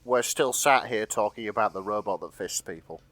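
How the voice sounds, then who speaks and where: A man's voice: fairly low pitch, moderate pace, fairly bright tone, no room sound. A man co-hosting a casual gaming podcast, chiming in as a keen fan. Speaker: podcast co-host. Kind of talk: casual podcast chat about video games.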